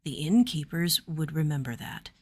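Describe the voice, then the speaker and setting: A woman plays a young warrior man in a fantasy audio story. His voice is low and quiet, with a tired, steady kindness and an unhurried way of speaking. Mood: steady, persuasive.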